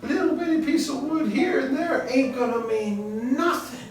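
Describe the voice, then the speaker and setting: A man voicing a loud, bawdy young frontier woman with a sassy, drawling backcountry way of talking. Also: high voice, lively intonation, moderate pace, pitched above the performer's own voice. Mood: taunting. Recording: roomy echo, distant mic, faint guitar music.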